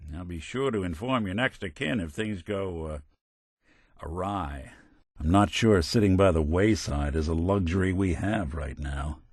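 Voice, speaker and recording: A man's voice: low voice, unhurried, slightly rough, no room sound. A man playing a world-weary, middle-aged android detective in a video game, with an American accent and a wry, hard-boiled way of talking. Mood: grave, dry. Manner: wry, dry, old-fashioned hard-boiled slang. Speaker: companion character in a video game.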